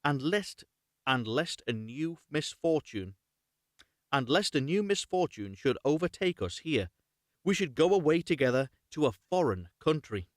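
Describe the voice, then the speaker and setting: A man's voice: a little high, big pitch swings, a little slow, no echo, studio quality. A man reading the part of a sensible, companionable talking bean in a storybook, with formal phrasing. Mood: reasoning, resolute.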